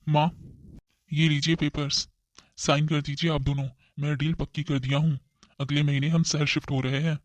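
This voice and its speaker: A man's voice: a little high, little pitch movement, normal speed, no echo, natural voice. A man voicing a practical, stubborn grown son in a cartoon family drama, speaking insistently and earnestly. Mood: impatient, insistent. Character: practical, stubborn young adult son and brother. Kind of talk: amateur cartoon voice acting.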